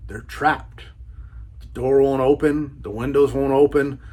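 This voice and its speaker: A man's voice: medium pitch, steady tone, a little slow, darkish tone. A man giving a casual book review as a channel host, enthusiastic, with a laid-back, slangy way of talking.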